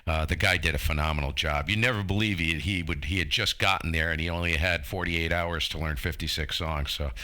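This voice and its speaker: Bright, deep-voiced man in his fifties. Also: fairly even intonation, fairly quick, hardly any pauses. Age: 50s. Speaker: amateur radio operator. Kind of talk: casual radio chat.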